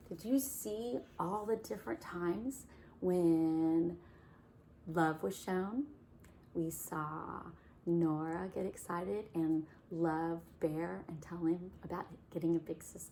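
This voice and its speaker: A woman reading a picture book aloud to children, gently asking questions about the pictures. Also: lowish voice, vocal fry, slight background noise. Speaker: children's story reader. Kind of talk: storytime read-aloud for kids.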